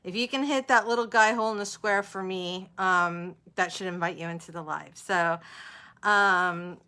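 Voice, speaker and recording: A woman's voice: fairly low pitch, normal speed, pushed and bright, smooth. A woman hosting an author interview livestream, chatting casually and informally with viewers as she goes.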